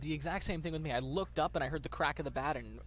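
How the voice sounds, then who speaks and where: A man's voice: a little high, fast. A man giving conversational color commentary on a live baseball broadcast.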